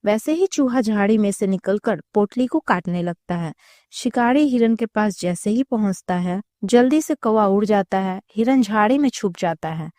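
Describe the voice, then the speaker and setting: A woman's voice: medium pitch, expressive, normal speed, darkish tone, no room sound, clean studio sound. A woman narrating a scripted story for children as a storyteller.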